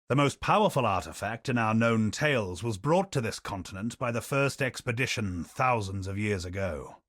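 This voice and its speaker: An actor playing a solemn, learned male expedition captain in a video game, speaking in measured, thoughtful lines. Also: fairly low pitch, fairly bright tone, no room sound, clean studio sound. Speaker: game character.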